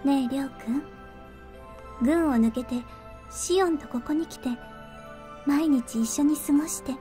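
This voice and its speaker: A gentle young woman from a visual novel, played by an actress, high-voiced and reassuring. She speaks earnestly and briskly, with music playing behind her. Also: close to an everyday voice. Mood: tender, reassuring. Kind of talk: Japanese visual novel voice acting.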